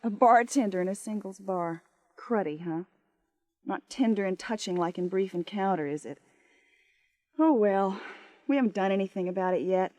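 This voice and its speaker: A woman's voice: medium pitch, moderate pace, darkish tone, no echo, natural voice. A woman playing a tipsy, bored American wife in her twenties, flippant and cynical, in a radio drama. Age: 20s. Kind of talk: naturalistic radio drama acting.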